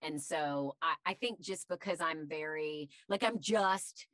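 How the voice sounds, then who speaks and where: A woman voice actor with a deep voice, talking candidly and chattily in an interview, with many fillers. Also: unhurried, a bit bright, no echo. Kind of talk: casual interview chat.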